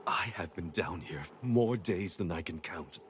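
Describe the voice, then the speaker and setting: Deep-voiced, haunted captive engineer. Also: male voice, expressive, a little hiss or hum. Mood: haunted, horrified. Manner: halting, anguished, dramatic. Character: haunted, guilt-ridden male captive engineer. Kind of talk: dramatic video game dialogue acting.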